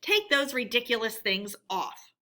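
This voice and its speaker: A woman's voice: a little high, sing-song, unhurried, pushed and bright, full character voice. A woman voicing a stern, solemn male badger from a children's storybook, commanding and formal. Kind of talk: children's storybook character reading.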